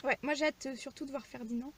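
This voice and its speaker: A woman's voice: high-pitched, fairly even intonation, fast talker. A woman talking casually and informally as a vlogger, in a chatty way. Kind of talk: casual vlog chat.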